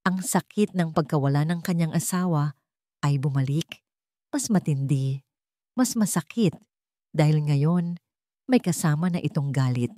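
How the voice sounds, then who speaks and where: Low-voiced woman narrating dramatic fiction. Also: a little slow, pauses often, warm tone, no echo, studio quality. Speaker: story narrator.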